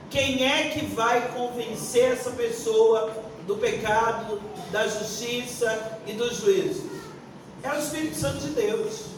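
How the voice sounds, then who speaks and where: A Brazilian woman of sixty-one answering on a forum panel like a pastor, deliberate and emphatic, searching for words as she goes. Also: on the high side. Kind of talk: unscripted panel answer with preaching tone. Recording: echoey room, distant mic, faint noise.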